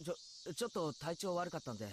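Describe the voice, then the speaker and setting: Actor as a very quick-talking teenage boy. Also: on the high side, expressive, close to an everyday voice. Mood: hesitant, polite. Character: hesitant polite young teenage schoolboy. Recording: insect ambience, clear background noise.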